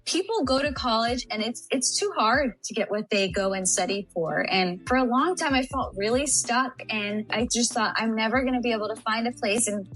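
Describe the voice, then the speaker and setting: A woman's voice: a little high, normal speed, hardly any pauses, a bit bright, faint music behind, poor audio. A young woman from San Antonio, Texas, chatting as a podcast guest. She is bubbly and laughs often. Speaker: interview guest. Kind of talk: casual podcast interview.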